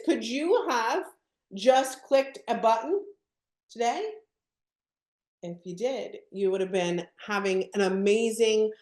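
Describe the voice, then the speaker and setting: A woman presenting a software demo on a live video call, upbeat and often asking her audience questions. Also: mid-range voice, roomy sound. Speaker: product presenter. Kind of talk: live webinar product demo.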